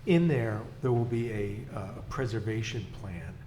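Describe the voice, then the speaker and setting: A man with a fading, hoarse voice explains approval procedure to a town board in a measured way. His voice sits low. The meeting room rings with echo and steady background noise. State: losing his voice.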